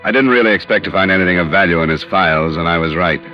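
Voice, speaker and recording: An actor playing a sober, dogged American insurance investigator in a 1950s radio drama, with a measured, matter-of-fact delivery. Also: male voice, low-pitched, music in the background, close to an everyday voice. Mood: sober narrating. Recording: dull, lo-fi old radio recording.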